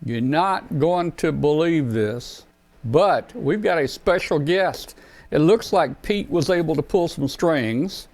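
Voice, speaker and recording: A man's voice: fairly high pitch, animated, takes it slowly, darkish tone. A man hosting a live hobby show, upbeat and joking in casual chat. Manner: enthusiastic, joking. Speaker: show host.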